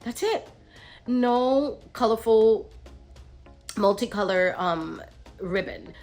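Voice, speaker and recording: A woman's voice: fairly high pitch, expressive, slow pace, a little mellow, soft background music. A middle-aged woman vlogging in a casual, rambling chat, sounding nasal as she gets over the flu. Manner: rambling, many ums and restarts.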